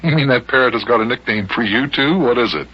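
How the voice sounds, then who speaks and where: A man with an American accent playing a patient, dryly amused grown-up who gently scolds a boy in a children's radio serial. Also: mid-range voice, fast, breathy edge, close to an everyday voice. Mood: dry, wry. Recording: hissy, dull old radio recording.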